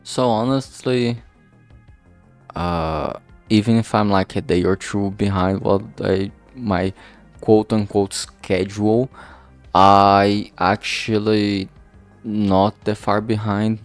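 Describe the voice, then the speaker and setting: A man talking casually and haltingly in a rambling vlog, informal and self-deprecating, with some swearing. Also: on the low side, steady tone. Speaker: indie visual novel creator and vlog host. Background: background music.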